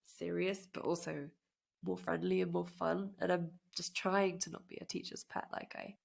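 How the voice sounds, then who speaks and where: A woman in her twenties chatting as a podcast guest, self-deprecating and quick to laugh. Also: on the low side, little pitch movement, creaky. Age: 20s. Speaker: guest musician. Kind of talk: casual podcast interview.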